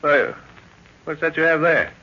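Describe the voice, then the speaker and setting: A man's voice: a little high, unhurried, sharp tone. An actor playing a middle-aged, fatherly professor and explorer, hearty and thoughtful, in an old radio drama. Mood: astonished. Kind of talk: 1930s radio acting. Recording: muffled 1930s radio recording.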